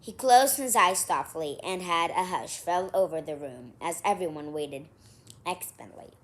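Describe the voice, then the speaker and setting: A woman's voice: on the low side, lively intonation, normal speed. A woman reading a children's story aloud expressively, with casual, chatty asides to her listeners. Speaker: storybook reader.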